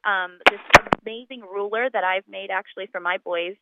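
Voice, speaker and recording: A woman's voice: mid-range voice, fairly even intonation, normal speed, very noisy. A woman born in Poland, a guest talking casually about fundraiser events, chatty with many ums and restarts. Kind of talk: casual radio interview by phone. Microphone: phone line. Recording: tinny phone line.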